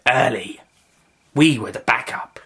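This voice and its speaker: Someone doing a low-key mystery hero guy, calm and slow. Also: breathy edge, heavily acted. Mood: matter-of-fact. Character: mysterious, steady male time-traveller leader.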